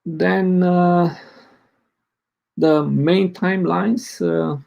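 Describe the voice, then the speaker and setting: Man, gravelly voice, slow and halting, conference talk. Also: sits high, steady tone, darkish tone, highs cut off. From Romania. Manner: hesitant, many fillers. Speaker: open source project lead presenting.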